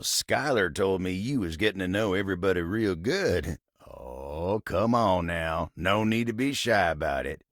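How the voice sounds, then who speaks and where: A man's voice: low-pitched, gravelly, no echo, clean studio sound, exaggerated voice. A man voicing a friendly, humble, hard-working talking table in a video game, with a folksy, neighborly American country drawl. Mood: bashful, reassuring.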